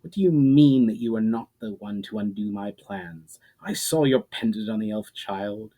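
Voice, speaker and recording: A man's voice: on the low side, moderate pace, mellow, close to an everyday voice. Man playing a venomous, scheming sorceress. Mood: furious, spiteful. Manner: incredulous, spitting out words with spite. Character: spiteful, furious scheming sorceress, proud and venomous.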